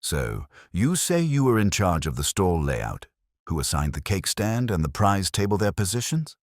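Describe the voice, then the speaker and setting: A quiet, shrewd police inspector from a mystery audiobook, acted by a male reader, very low and slightly husky. He probes in measured murmurs, with a dry, close sound. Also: sing-song, no echo. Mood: probing.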